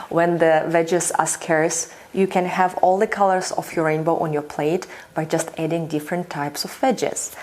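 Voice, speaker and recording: Low-voiced woman teaching home fermentation. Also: darkish tone. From Russia. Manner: enthusiastic, conversational, with frequent "you know". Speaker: fermentation teacher and channel host. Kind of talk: instructional video talk to camera.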